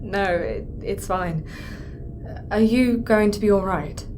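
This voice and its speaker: A woman's voice: mid-range voice, takes it slowly, close to an everyday voice. A woman playing a concerned, careful astronaut counsellor in a sci-fi audio drama, speaking hesitantly. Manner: careful, hesitant, quietly worried. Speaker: crew counsellor. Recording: noisy ambience.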